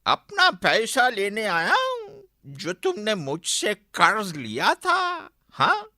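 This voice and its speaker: Gloating, swooping high-voiced moneylender. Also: male voice, wildly swooping pitch, pushed and bright, no echo, studio quality, full character voice. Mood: demanding. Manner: sneering, drawn-out, with repeated phrases and mocking chuckles. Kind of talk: radio drama acting.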